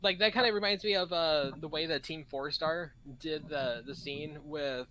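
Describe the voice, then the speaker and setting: A man chatting casually on a group podcast, haltingly, often restarting his sentences. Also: on the high side, fairly even intonation, poor audio. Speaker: podcast panelist. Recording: bright voice with faint background noise.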